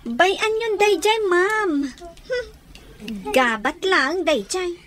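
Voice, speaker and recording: Schoolgirl-like young student, piercingly high, bright, plaintive, defensive, radio drama. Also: very animated, very slow, heavily acted. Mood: resigned. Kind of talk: Ilocano radio drama acting.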